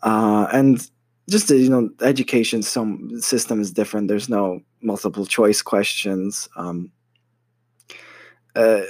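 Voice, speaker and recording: A man's voice: lowish voice, fairly even intonation, unhurried, a little mellow, no echo. A young American man telling a personal story about his studies in a casual, hesitant way, full of fillers and restarts. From United States. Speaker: university student.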